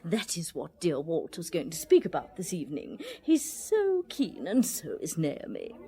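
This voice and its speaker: An actress playing a gushing, fussy middle-aged society hostess with an upper-class English accent in a radio drama. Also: female voice, deep voice, wildly swooping pitch, moderate pace, warm tone, heavily acted. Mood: gushing, chatty. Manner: effusive, fluttery, chattering.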